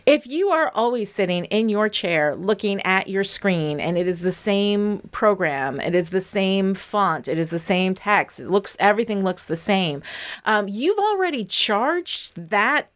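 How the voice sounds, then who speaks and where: A woman's voice: medium pitch, fairly bright tone. A woman speaking as a writing coach, explaining things in an encouraging, conversational way.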